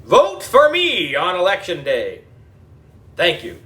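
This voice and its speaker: A man's voice: high voice, wildly swooping pitch, slow pace, bright, forceful tone, distant mic, full character voice. A man voicing a pompous, long-winded mayor in a children's audiobook, declaiming like a politician at a podium. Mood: self-important. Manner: declamatory, droning political speechmaking. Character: pompous, long-winded male town mayor making speeches.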